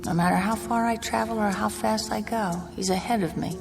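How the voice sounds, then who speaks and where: A woman's voice: mid-range voice, brisk, gravelly, over music, natural voice. An actress playing an anxious, haunted young woman traveling alone in a radio drama, speaking in a hesitant, polite, uneasy way. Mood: haunted, brooding.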